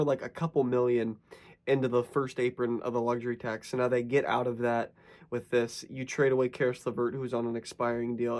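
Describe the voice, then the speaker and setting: A young man hosting his own sports channel, his voice slightly raspy from a lingering cough. He talks casually and rambles, correcting himself as he goes, in an average-pitched voice. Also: a little mellow. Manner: rambling, self-correcting, conversational. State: sick with a cough.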